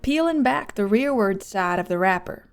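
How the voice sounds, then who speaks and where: A woman's voice: mid-range voice, lively intonation, moderate pace, soft background music, close to an everyday voice. A woman reading scripted audiobook narration as the storyteller.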